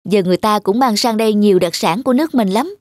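A woman's voice: mid-range voice, fast, darkish tone, dry recording, clean studio sound, lightly acted. A woman playing a kind, dutiful young mother in a cartoon, with a polite, soothing way of talking. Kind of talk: animated cartoon dialogue.

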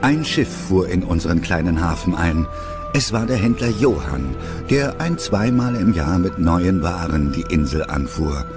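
A man's voice: low voice, expressive, measured pace. A man narrating a scripted story for an audio play. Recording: narration over music.

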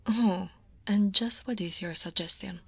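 A woman from Italy voicing a guarded but teasing female dragon office senior in a soft, breathy ASMR roleplay. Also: medium pitch, measured pace, no room sound, exaggerated voice. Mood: teasing, flustered. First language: Italian. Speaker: voice actor.

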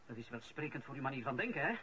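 An actor playing an indignant, self-righteous civil servant who feels wrongly accused, in a radio play. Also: male voice, medium pitch, very animated, rapid-fire, pushed and bright. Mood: indignant, defensive. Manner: agitated, self-righteous, protesting. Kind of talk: Dutch-language radio drama acting. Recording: muffled, noisy old radio recording.

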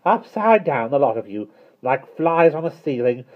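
A prim, stout, excitable housekeeper woman from a storybook, played by a man. The voice is pitched very high and swoops about as she exclaims dramatically. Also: normal speed, dull audio. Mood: disgusted, indignant.

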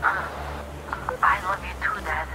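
A woman's voice: fairly low pitch, steady tone, very slow, very noisy. A woman voicing an earnest, dutiful young boy, the son of a colonel, talking over a radio in a video game. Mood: affectionate. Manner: dutiful, childlike, radio-operator formality. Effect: radio transmission. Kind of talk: dramatic video game acting.

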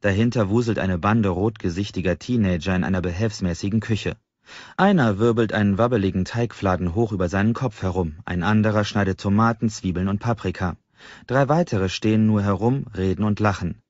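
A man's voice: lowish voice, fairly quick, darkish tone, no room sound, lo-fi sound. A man narrating a first-person novel as an audiobook in a scripted literary reading style.

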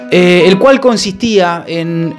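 A young man with a Rioplatense accent, a YouTuber talking in a halting, mock-solemn confession, holding a mic close in a small kitchen. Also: high voice, soft background music. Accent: Rioplatense Spanish. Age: young adult. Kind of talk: mock-serious confession vlog. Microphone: handheld mic held close.

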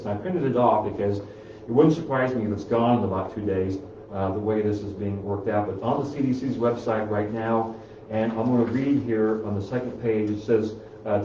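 A middle-aged man talks from printouts in a small office, halting often on fillers and restarts. His voice is lowish and mellow, picked up by a far camera so it sounds distant and roomy. The sound is a bit dull. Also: slight background noise. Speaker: chiropractor hosting a health talk. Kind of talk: casual talk reading from printouts. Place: small office room, indoors.

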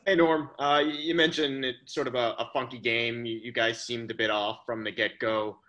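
Bright-voiced male reporter, hesitant. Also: medium pitch, level tone, moderate pace. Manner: hesitant, restarting phrasing. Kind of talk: press conference question.

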